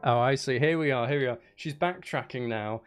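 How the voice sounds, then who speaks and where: A man's voice: medium pitch, brisk. A man chatting casually on a live stream as he makes music, geeky and playful, thinking aloud in a rambling way.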